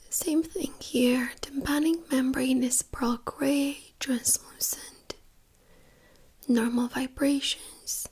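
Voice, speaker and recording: A woman speaking in a breathy whisper, gently and reassuringly, as a doctor in an ASMR medical exam role-play. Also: high-pitched, fairly even intonation. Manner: gentle, reassuring, unhurried clinical explaining.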